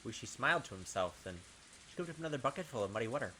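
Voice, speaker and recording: A man reading a visual novel aloud as a gaming host, with dramatic, expressive storytelling and pauses. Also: medium pitch, a little hiss or hum, rough recording.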